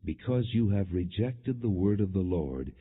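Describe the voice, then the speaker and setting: Stern old male prophet for scripture audiobook, read by a male narrator: deep, husky, declamatory, phone-like sound. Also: lively intonation, measured pace, full character voice. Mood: stern rebuke. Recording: muffled, phone-like narrow-band audio.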